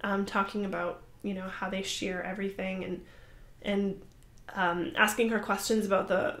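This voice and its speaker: A young woman hosting a crafting podcast, chatting casually to the camera in a rambling, apologetic way with lots of fillers. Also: medium pitch. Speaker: podcast host. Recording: roomy echoey room.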